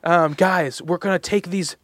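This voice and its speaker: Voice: mid-range voice, fairly even intonation, measured pace, a loud crowd. A podcast host from Long Island in a casual chat, laughing often and rambling through half-finished sentences. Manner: laughing, rambling, self-interrupting.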